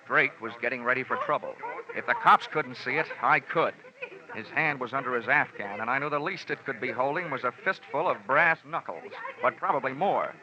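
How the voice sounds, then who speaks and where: A man's voice: mid-range voice, fairly quick, a crowd in the background, lightly acted. An actor playing a wry, hard-boiled insurance investigator narrating his case in 1940s radio acting. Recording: muffled old radio broadcast.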